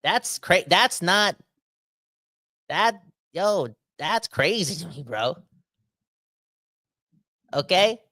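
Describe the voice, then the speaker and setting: Bright, rambling man in his thirties. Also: sits high, drawn-out words, long pauses, no echo, studio quality. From Canada. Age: 30s. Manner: animated, rambling, slangy, talks straight to the audience. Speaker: podcast host reading live chat comments. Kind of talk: casual livestream rant.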